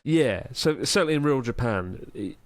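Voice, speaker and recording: A man's voice: medium pitch, lively intonation, moderate pace, no room sound. A man, co-hosting a casual podcast in a rambling, sardonic way. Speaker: podcast co-host.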